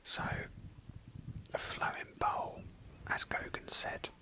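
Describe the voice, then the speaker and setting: A woman narrating a roleplay game playthrough in a breathy ASMR whisper, slowly and with pauses. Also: pushed and bright.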